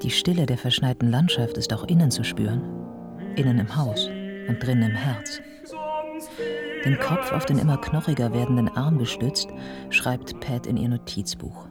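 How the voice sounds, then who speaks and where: Radio play narrator: measured, sombre woman observing a writer's life, prominent music behind. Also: rumbling low. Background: prominent instrumental music.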